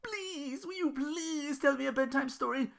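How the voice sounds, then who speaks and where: A woman's voice: sits high, measured pace, vocal fry, pitched above the performer's own voice. A woman voicing a whiny, childish male servant who pleads timidly with his lady, in a story read aloud. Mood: pleading.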